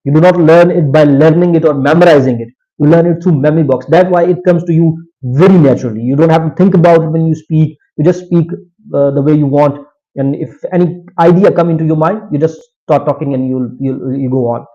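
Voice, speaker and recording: Pakistani male presenter, Potohari and Urdu native, higher-pitched mellow voice, halting informal lecture delivery, dull recording. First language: Potohari Punjabi and Urdu. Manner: halting, hesitant second-language English with self-corrections. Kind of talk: informal lecture, partly reading research aloud.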